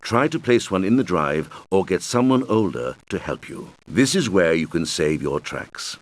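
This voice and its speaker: Deep, animated kids' game guide. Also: male voice, normal speed. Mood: patient, instructive. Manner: patient, clearly explanatory, addressing children. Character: helpful male game guide instructing young players. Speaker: game tutorial guide character. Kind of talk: scripted instructional narration for a children's video game.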